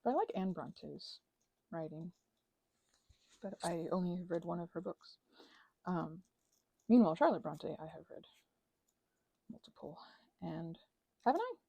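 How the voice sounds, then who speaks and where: A woman's voice: fairly low pitch, lively intonation, a little slow, creaky, long pauses, mellow, husky, no echo, poor audio. A woman chatting casually and hesitantly in a meandering craft ASMR ramble, close to the mic. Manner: hesitant, meandering, self-interrupting. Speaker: host of a craft ASMR channel.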